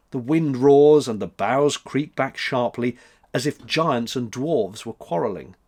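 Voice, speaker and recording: Male storyteller, mid-pitched, expressive. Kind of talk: expressive scripted story narration.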